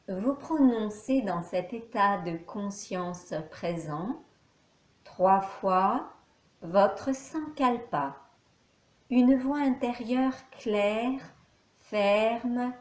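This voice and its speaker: A woman teaching yoga nidra, guiding a meditation calmly in short, measured phrases with pauses between them. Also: on the high side. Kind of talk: guided meditation, calm instruction. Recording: echoey, reverberant room.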